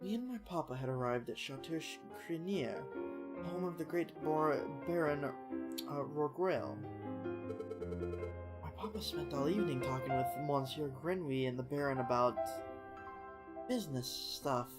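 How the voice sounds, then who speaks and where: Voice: medium pitch, unhurried, lightly acted. A streamer with a nasal, stuffed-up voice playing a sheltered, chatty young aristocratic woman in a video game. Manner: naive, chatty. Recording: music in the background.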